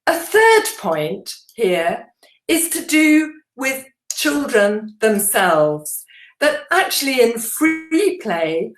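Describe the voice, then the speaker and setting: A woman giving an instructional presentation to teachers as a trainer, explaining in a measured way with deliberate emphasis. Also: mid-range voice, roomy sound.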